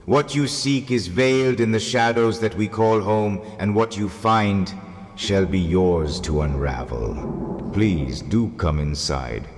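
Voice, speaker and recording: A man's voice: lowish voice, takes it slowly, a little hiss or hum. A man playing a spectral, aristocratic old host of a haunted mansion in a gothic audio drama, formal, ominous and welcoming. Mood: solemn welcome. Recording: long reverb, faint music behind.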